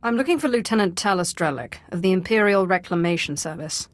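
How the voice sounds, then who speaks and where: An actress playing a commanding, curt female dark sorcerer lord in a video game. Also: lowish voice, expressive, fast, no echo, close to an everyday voice. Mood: cool, commanding. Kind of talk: game voice acting.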